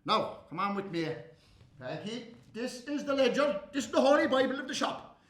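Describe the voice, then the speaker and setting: Irish guy doing a loud jolly shopkeeper, high voiced, gushy, roomy echo. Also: sing-song, normal speed, exaggerated voice. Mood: bossy. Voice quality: loud, gushing, high-pitched. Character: jovial booming male hardware shopkeeper, middle-aged. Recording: echoey room.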